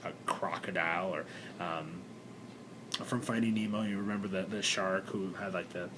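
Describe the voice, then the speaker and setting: A young man with a congested, stuffed-up voice, talking haltingly in a personal video diary with many fillers and restarts. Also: fairly even intonation. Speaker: vlogger. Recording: noisy recording with low rumble.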